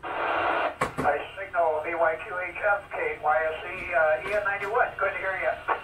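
A man's voice: sits high, steady tone, normal speed, roomy sound, recorded from a distance. A man making terse call sign exchanges over an amateur radio transmission. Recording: very noisy radio speaker heard across room. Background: radio static.

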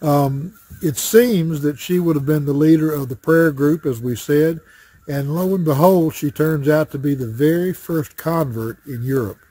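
An older man gives a Sunday school lesson outside in a wooded yard, filmed at chest height. His voice sits slightly high with a husky, breathy edge, and his teaching is plain and folksy, full of small pauses. Crows caw now and then in the background. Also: dark tone, low-quality recording. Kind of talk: Bible study lesson. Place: outdoors in a wooded backyard. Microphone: webcam or phone framed at chest height. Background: crows cawing.

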